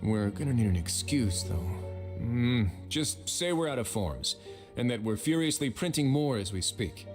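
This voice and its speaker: Wry warden for a game, male actor: composed, commanding, medium register, over clear background music. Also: medium pitch, big pitch swings, moderate pace, natural voice. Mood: wry, cautious. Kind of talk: video game dialogue acting.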